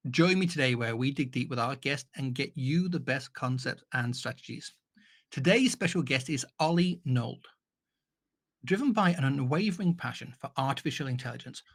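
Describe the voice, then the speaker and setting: A man hosting an interview podcast, introducing his guest and asking questions. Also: mid-range voice. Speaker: show host and interviewer.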